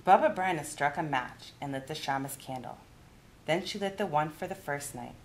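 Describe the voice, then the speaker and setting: A woman narrating a children's story in an expressive read-aloud style. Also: deep voice, normal speed. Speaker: youth adviser reading a children's story.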